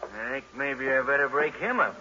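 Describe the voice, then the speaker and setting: A man's voice: mid-range voice, lively intonation, moderate pace, music in the background, close to an everyday voice. An actor playing a big, drunken, belligerent rich young man in an old-time radio noir drama. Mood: threatening. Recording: noisy, dull vintage radio recording.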